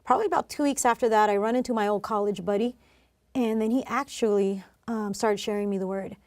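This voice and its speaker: A woman's voice: medium pitch, quick pace, darkish tone, dry recording, clean studio sound. A woman guest telling her personal story in a casual, chatty way, with bits of light laughter. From Philippines and New Zealand. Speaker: interview guest, singer and worship director.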